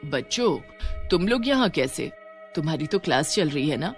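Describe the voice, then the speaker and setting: A woman's voice: low voice, big pitch swings, normal speed, soft background music. A woman voicing a worried, pleading mother in a horror story. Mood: surprised. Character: anxious mother searching for her missing son.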